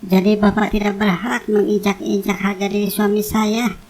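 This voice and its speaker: A woman's voice: medium pitch, flat delivery, moderate pace, darkish tone, close to an everyday voice. A woman playing a loyal, bold young wife in a cartoon drama, with some noise behind her. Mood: indignant, firm.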